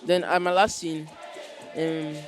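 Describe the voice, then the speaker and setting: A young man with a Nigerian accent explaining his stage role in an informal interview, in a halting way. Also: on the high side, level tone, some chatter behind. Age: teenager or young adult. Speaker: student actor in a school play. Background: music.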